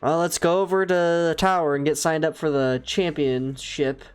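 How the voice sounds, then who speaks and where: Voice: medium pitch, steady tone, smooth, soft background music. A streamer casually reacting to a game, muttering and swearing. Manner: slow, muttering reactions with profanity. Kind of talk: casual gaming commentary.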